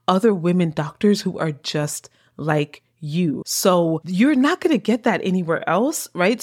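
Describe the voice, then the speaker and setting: A woman hosting her podcast, a coach making an energetic, persuasive pitch, her voice slightly hoarse and tagging lines with "right?". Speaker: podcast host and business coach.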